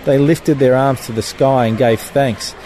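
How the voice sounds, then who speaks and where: A man's voice: mid-range voice, steady tone, unhurried, dark tone, a little hiss or hum, low-quality recording. A man reading his own satirical column in a wry, mock-solemn way. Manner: wry, mock-biblical delivery. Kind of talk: satirical scripted narration. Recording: music bed under the voice.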